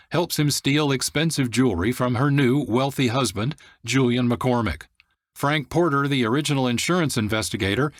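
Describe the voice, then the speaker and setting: Male host-announcer, medium pitch, dry studio sound, measured scripted recap style. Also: no echo. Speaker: radio producer and host. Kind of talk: scripted narration, story recap.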